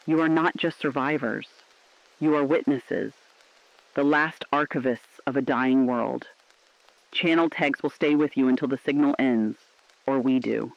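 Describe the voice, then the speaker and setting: Dry, slightly muffled male voice, acted, mid-pitched: weary underground radio drama broadcaster, solemn, reflective, clinging to hope. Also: gravelly, no room sound. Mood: grave, hopeful. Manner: solemn, poetic, reflective delivery. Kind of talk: scripted apocalyptic radio broadcast narration.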